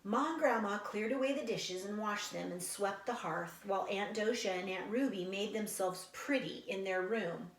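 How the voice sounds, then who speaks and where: A woman's voice: mid-range voice, normal speed, hardly any pauses, slight background noise. Echoey woman reading a storybook aloud. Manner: explains to young listeners, animated sound imitations. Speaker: read-aloud teacher. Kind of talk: storybook read-aloud with casual explanatory asides. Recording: echoey room.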